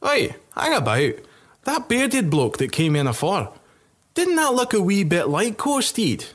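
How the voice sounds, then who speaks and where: A man voicing an excitable drunk male soldier, loud and slurring. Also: sits high, unhurried, voice pushed up, exaggerated voice. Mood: excited drunk. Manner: shouting, squealing, slurred.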